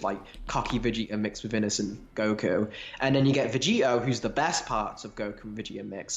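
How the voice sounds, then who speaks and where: A man co-hosting a podcast, chatting casually and enthusiastically about fandom in a rambling, informal way. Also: medium pitch. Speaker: podcast co-host. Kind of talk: casual fan discussion. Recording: roomy, echoey home recording.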